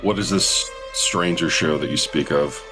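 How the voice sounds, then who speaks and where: A man's voice: rumbling low, unhurried, music in the background. A man co-hosting a podcast, swearing freely and joking at his own expense while reviewing an action movie. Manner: profane, animated, self-mocking. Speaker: podcast co-host. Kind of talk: casual movie review chat.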